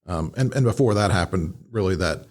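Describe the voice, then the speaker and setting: Low-voiced American man breaking down events. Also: lively intonation, brisk, darkish tone. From Midwestern United States. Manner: explanatory, frequent "you know". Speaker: podcast host and fire command instructor. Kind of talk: casual analytical commentary.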